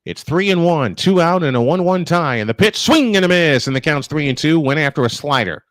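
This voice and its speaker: A man's voice: fairly high pitch, lively intonation, a little fast, no room sound. A man calling live baseball play-by-play as a sportscaster. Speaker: play-by-play commentator on a live stream.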